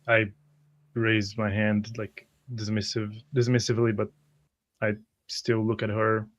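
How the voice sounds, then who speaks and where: A man playing a tabletop game, haltingly describing his character's actions over a dull, muffled microphone. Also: lowish voice, monotone, a bit bright. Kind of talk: first-person roleplay narration.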